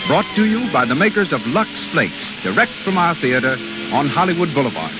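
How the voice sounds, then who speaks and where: A man's voice: fairly high pitch, big pitch swings, a little fast. A man announcing a 1930s radio show from a script in a polished, declamatory style. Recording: muffled 1930s radio broadcast. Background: music.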